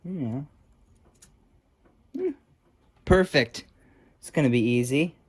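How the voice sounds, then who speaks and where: A man chatting casually and unscripted as he works on a repair, speaking in a halting, muttering way like a hobbyist thinking aloud. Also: medium pitch, animated, husky. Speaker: hobbyist restoring old electronics. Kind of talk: casual unscripted talk while working on a repair.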